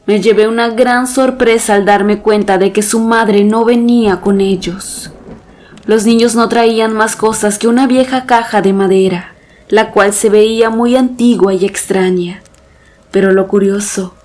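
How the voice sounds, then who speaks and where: A woman's voice: mid-range voice, fairly even intonation, measured pace, darkish tone. Woman narrating a scripted horror story.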